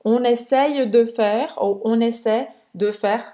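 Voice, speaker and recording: A Brazilian woman with a Brazilian Portuguese accent, co-hosting a casual language lesson in a lively, explanatory way. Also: a little high, slow pace. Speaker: French teacher, co-host.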